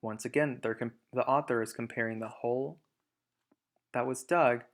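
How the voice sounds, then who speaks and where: A man's voice: mid-range voice, steady tone, normal speed. A man reading a storybook aloud as a teacher, emphatic in narration and explanatory in asides.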